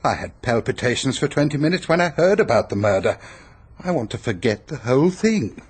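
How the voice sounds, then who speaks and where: An actor in a radio drama playing a pompous, peevish, self-pitying upper-class English hypochondriac who blusters and complains. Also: male voice, medium pitch, big pitch swings, fairly quick, breathy edge. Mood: peevish, dismissive.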